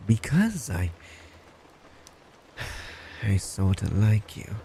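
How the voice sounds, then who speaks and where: A man's voice: fairly low pitch, big pitch swings, drawn-out words, vocal fry, warm tone, a little hiss or hum, full character voice. A man playing a blunt, smug, creepy lovestruck stalker in an intimate boyfriend roleplay, condescending and teasing. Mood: condescending, fond. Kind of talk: boyfriend roleplay audio, intimate scripted monologue.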